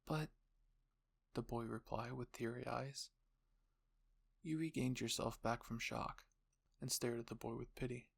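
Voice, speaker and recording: A man narrating scripted fan fiction as the host, with a gravelly, husky voice. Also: medium pitch, little pitch movement, moderate pace, pauses often.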